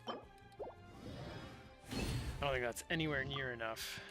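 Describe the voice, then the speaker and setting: A man streaming a video game, chatting casually and relaxed with his viewers. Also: medium pitch, a little slow. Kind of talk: casual gameplay commentary. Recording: loud game music behind.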